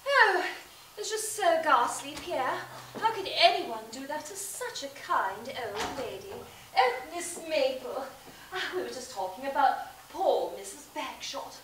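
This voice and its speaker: A woman's voice: high voice, expressive, unhurried, fairly bright tone, a little hiss or hum. A woman playing a genteel young heiress with a well-bred, dramatic manner, recorded far from the mic in an echoey room.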